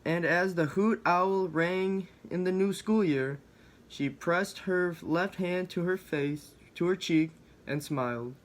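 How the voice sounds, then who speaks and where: Male storybook reader for young children, voice on the higher side. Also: takes it slowly. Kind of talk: children's story read aloud.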